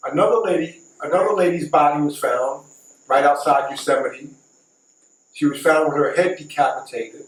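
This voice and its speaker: A man's voice: on the high side, moderate pace. A middle-aged man telling a story casually, repeating and recapping for emphasis. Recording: echoey, distant mic, faint noise.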